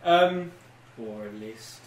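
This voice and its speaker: A man's voice: medium pitch, expressive, slow. A man chatting casually as a podcast co-host, hesitant and often repeating his phrases. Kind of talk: casual chat. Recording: roomy, distant, noisy recording.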